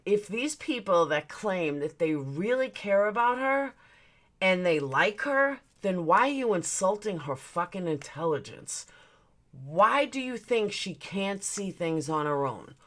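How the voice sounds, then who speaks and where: A woman's voice: medium pitch, fairly bright tone. A woman in her forties hosting a livestream, chatting casually as she reads out and answers viewer comments, blunt and swearing now and then. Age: 40s. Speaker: livestream host.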